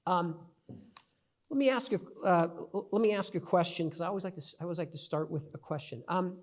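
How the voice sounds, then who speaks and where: A man's voice: high-pitched, brisk. A middle-aged man with a New York accent, giving a conversational conference presentation with many fillers and restarts. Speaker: conference speaker.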